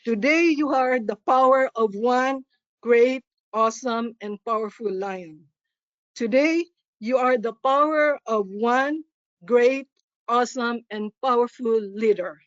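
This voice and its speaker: A woman with a Filipino accent reading a poem and then a list of names at a club meeting, careful and slow, with small self-corrections. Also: a little high, no room sound, muffled recording. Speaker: club officer presenting at a district meeting.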